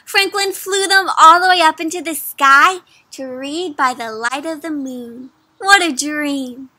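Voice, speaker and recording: Young woman, children's read-aloud host. Very bright, high voice, animated and engaging with young listeners. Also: measured pace. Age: young adult. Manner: animated, addresses listeners with frequent questions. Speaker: children's story reader, host. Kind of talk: interactive picture-book read-aloud for kids. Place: outdoors under trees.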